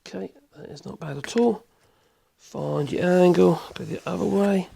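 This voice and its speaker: Hoarse man, gravelly. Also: high-pitched, moderate pace, dark tone, a little hiss or hum. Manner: rambling, chatty, self-correcting, chuckles. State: hoarse with a cold. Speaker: woodworking channel host. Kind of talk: casual workshop demonstration. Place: workshop.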